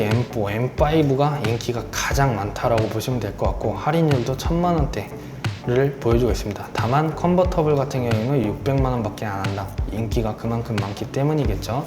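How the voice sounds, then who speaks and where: A man working as a car dealer, giving a polite and persuasive sales pitch on promotions while speaking low because of a sore throat. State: sore throat, speaking lower than usual. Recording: roomy echo with background music.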